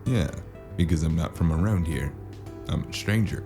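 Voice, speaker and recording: A man narrating a noir audio drama as the lead, dry and wry, with a deadpan manner, over a music score. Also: rumbling low, moderate pace. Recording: music score playing underneath.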